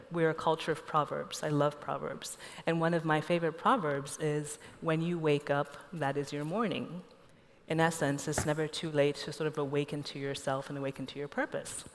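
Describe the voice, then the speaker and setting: Low-voiced woman, echoey hall. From Nigeria. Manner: reflective, storytelling. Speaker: panelist. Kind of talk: panel discussion.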